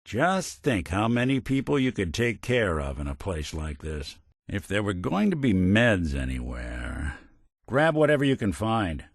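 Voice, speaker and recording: World-weary American android gumshoe for a game: lowish pitch, very expressive swings, sardonic, humane, noir. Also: male voice, no echo. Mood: grave, principled. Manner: dry, sardonic, deadpan asides. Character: world-weary middle-aged male android detective, wry and humane. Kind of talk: film noir detective video game voice acting.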